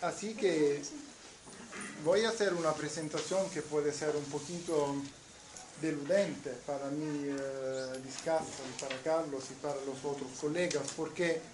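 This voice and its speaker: An Italian-accented man from southern Italy lectures in a university hall, slow and unhurried, stumbling over repeated words as he restarts his phrases. His voice sits a little high, and the room rings with echo and steady background noise. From southern Italy, Naples. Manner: halting, many repeated restarts. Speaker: guest lecturer.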